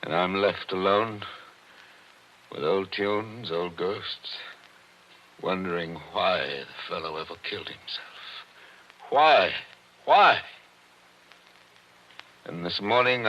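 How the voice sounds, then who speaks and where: An actor playing a lonely attorney haunted by an old death, speaking in a measured, wistful, formal way in a 1940s radio drama. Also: male voice, low-pitched, pushed and bright, heavily acted. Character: lonely older attorney haunted by the past, genteel. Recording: muffled old radio broadcast with faint hiss.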